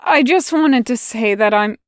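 A woman doing an awkward, halting male demon. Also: on the high side, no room sound, clean studio sound, natural voice. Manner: halting, lame, hesitant.

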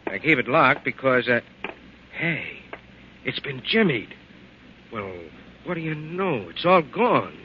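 An actor playing a gruff, alarmed ship's captain in an old-time radio drama. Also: male voice, mid-range voice, expressive, slow pace, long pauses. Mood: puzzled. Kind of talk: 1940s radio drama acting. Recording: dull, noisy old radio recording.

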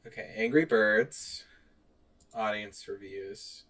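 A man co-hosting a podcast in casual chat, animated and quick to laugh. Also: fairly low pitch, rough recording. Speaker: podcast co-host. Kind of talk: casual chat, reading out online reviews.

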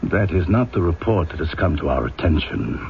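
An actor playing a cold, stern, scheming police inspector in an old-time radio drama. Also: male voice, low-pitched, big pitch swings, normal speed, creaky, full character voice. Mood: stern, insinuating. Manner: clipped, sardonic, domineering. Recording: dull, noisy old radio recording.

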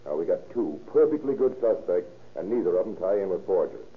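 Deep-voiced, gruff police captain, old radio. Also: male voice, lively intonation, fairly quick. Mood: stern, impatient. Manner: clipped, commanding, slangy. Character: gruff, brisk middle-aged male police captain investigating a murder. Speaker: actor in a radio crime drama. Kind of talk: old-time radio drama acting. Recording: muffled, lo-fi old radio recording.

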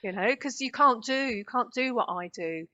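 A British woman of fifty, chatting warmly and animatedly as a guest about her spiritual work, over slightly dull audio. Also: on the high side, expressive, moderate pace. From Great Britain. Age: 50s. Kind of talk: casual conversational interview.

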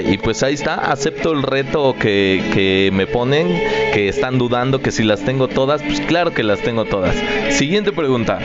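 A middle-aged man with a Mexican accent hosting a podcast, answering listener questions in an informal, joking, slangy way. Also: mid-range voice. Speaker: podcast host. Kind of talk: casual solo listener question-and-answer chat. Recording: dull lo-fi audio with music behind. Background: music.

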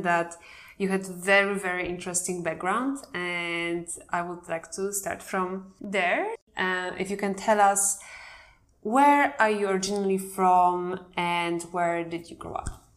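A woman's voice: lowish voice, steady tone, measured pace. A woman hosting an art podcast, reading a scripted introduction and then asking questions with some hesitation. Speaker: podcast host. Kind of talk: podcast interview.

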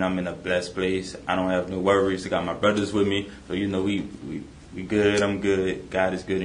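Very low-voiced young man, echoey room. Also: a little hiss or hum. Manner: relaxed, many "you know" fillers. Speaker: podcast co-host. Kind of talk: casual faith conversation.

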